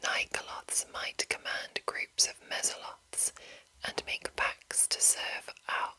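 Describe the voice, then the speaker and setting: A woman whispering as an ASMR host, reading and commenting in a hushed, lingering manner with drawn-out phrases. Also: poor audio.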